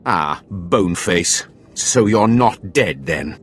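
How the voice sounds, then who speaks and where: An actor playing a haughty, scornful Sith academy overseer in a video game, contemptuous and theatrical. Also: male voice, low voice, animated, slow pace, husky, full character voice.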